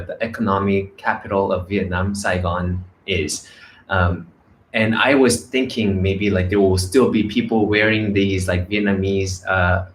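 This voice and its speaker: A man's voice: on the low side, a little hiss or hum. A man, telling his story in a casual vlog with many fillers and restarts. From Korea. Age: 30s. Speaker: vlogger. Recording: echoey room with faint ambience.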